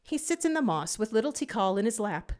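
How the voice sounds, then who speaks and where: Expressive woman reading a story aloud. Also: fairly high pitch, fairly quick. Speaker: narrator. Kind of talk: expressive scripted story narration.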